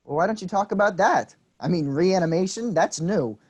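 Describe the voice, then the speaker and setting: An actor playing an eager, deferential disciple who earnestly prods and flatters his boss in a comic play reading. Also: high-pitched, normal speed, husky. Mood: advising.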